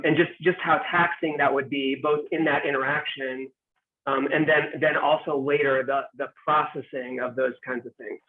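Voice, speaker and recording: Participant: man, slightly high voice, easygoing, tinny call audio. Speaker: workshop participant. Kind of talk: online workshop discussion.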